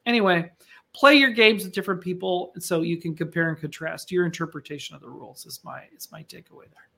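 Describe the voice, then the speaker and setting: High, gravelly-voiced man chatting. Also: quick pace, sharp tone. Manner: meandering, self-correcting. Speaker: podcast co-host. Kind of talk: casual chat.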